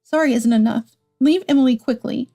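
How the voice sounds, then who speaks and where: A woman's voice: high voice, fairly quick, dark tone, faint music behind. A woman voicing an earnest, emotional wife and mother in a narrated story. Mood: hurt, firm. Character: hurt wife and mother, soothing yet confronting.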